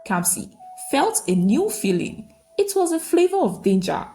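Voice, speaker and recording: Woman storyteller with very lively pitch. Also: measured pace, soft background music. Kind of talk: scripted folktale narration.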